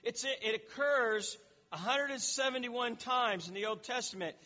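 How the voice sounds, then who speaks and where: High, bright-voiced man preaching. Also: unhurried, in a large hall, highs cut off. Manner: explanatory, frequent repeated words and restarts. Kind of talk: sermon teaching.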